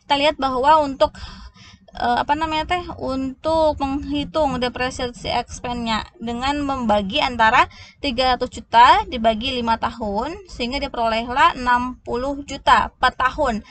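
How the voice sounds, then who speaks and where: A woman giving a step-by-step explanatory lesson as a lecturer, in a halting way, often restarting words. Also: sits high, fairly bright tone. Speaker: accounting lecturer. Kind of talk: explanatory lesson walking through worked examples. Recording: noticeable background noise.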